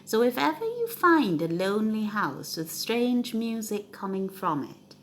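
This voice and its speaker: A woman storyteller reads for children with dramatic emphasis. Her medium-pitched voice moves slowly and swings widely in melody. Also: sing-song, slight background noise. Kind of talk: children's story read aloud.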